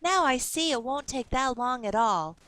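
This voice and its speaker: Earnest, worried mother read by a woman, slightly high pitch, children's storybook style. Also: lively intonation, normal speed, sharp tone, no echo. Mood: concerned. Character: concerned mother of a schoolgirl, admitting error.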